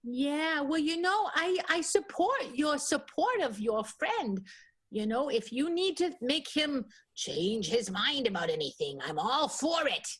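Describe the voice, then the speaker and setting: High, giggly, agreeable vaudeville gal acted by a woman. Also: expressive, a bit bright. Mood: supportive. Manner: giggly, drawn-out, easygoing replies. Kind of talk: improvised radio soap opera acting.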